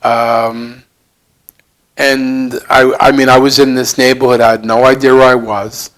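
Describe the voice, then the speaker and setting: A man in his seventies with a heavy Brooklyn accent, speaking in a halting, plain-spoken, self-deprecating way as he answers reflectively in an interview. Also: mid-range voice, level tone, a bit bright. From Brooklyn, United States. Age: 70s. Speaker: interview guest.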